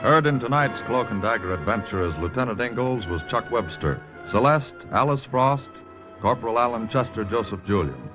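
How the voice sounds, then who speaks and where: A male announcer with a mid-pitched voice reads scripted credits over faint music. His delivery is formal and measured, in the manner of vintage radio. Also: expressive, rough recording. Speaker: announcer reading programme credits.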